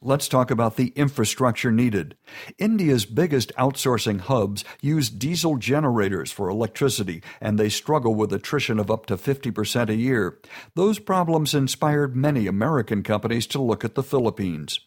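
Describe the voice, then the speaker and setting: A man giving a steady, informative scripted narration. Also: medium pitch, normal speed.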